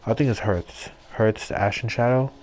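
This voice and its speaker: A man's voice: fairly low pitch, steady tone, normal speed, darkish tone, a little hiss or hum, rough recording. A young man giving casual, slangy, rambling commentary as he streams a game. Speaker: gaming streamer. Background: game music.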